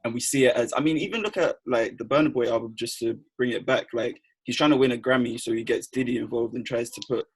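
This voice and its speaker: Young host, quick and slangy, husky edge. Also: male voice, medium pitch, low-quality recording. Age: young adult. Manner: fast, animated, retells friends' quips with slang. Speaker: podcast host. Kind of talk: casual chat.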